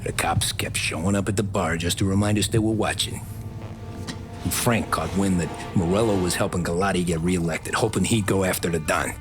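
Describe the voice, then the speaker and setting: A man's voice: low-pitched, fairly quick, over music, close to an everyday voice. An actor with an American accent playing a weary, wry mobster recounting his past matter-of-factly in a game. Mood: reflective. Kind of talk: cinematic game dialogue, storytelling.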